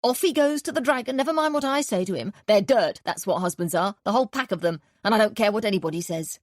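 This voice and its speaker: A woman reading an audiobook, voicing an angry, shrill, indignant older landlady. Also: fast talker, no room sound, clean studio sound, close to an everyday voice.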